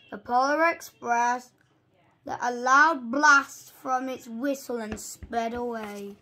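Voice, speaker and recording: A young reader reading a storybook aloud haltingly, stumbling over and repeating words. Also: high voice, bright, forceful tone.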